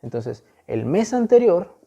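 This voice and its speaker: A man's voice: sits high, very animated, measured pace, vocal fry, dark tone. A man teaching a math lesson, explaining step by step, patiently repeating key points and stressing important words.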